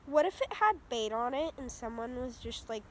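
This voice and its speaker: A woman's voice: fairly high pitch, expressive, moderate pace, a bit bright. A young woman chatting and asking questions while fishing outdoors by a river, filmed on a phone. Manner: chatty, questioning, easily startled. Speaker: vlogger. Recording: noisy outdoor phone recording.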